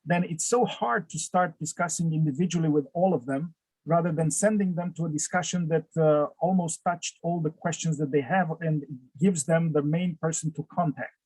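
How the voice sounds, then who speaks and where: Romanian guy on a video call, lots of uh's, kinda higher voice. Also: normal speed, darkish tone, husky, no room sound, low-quality recording. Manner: frequent "uh" fillers, slight non-native phrasing. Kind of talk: conversational interview over video call.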